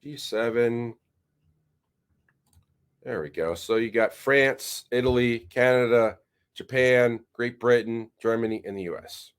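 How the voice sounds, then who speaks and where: A man's voice: medium pitch, clean tone. A man hosting his own channel, talking casually through news while sharing his screen. He sounds a little nasal from a head cold and often stops and restarts his sentences. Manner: rambling, halting restarts. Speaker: channel host. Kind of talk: casual screen-share commentary.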